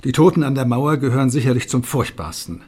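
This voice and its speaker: Solemn, slightly husky TV host. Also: male voice, medium pitch, lively intonation, a little mellow. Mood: grave. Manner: formal, measured, grave rhetoric with deliberate pauses. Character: solemn male television talk show host. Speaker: TV presenter. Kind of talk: radio drama, staged studio presentation.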